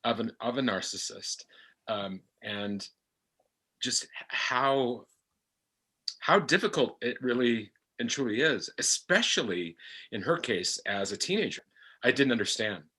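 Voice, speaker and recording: Middle-aged male, husky with a bright edge, reflective and halting personal talk, searching for words. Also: medium pitch, expressive. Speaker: actor on a discussion panel, speaking as a parent.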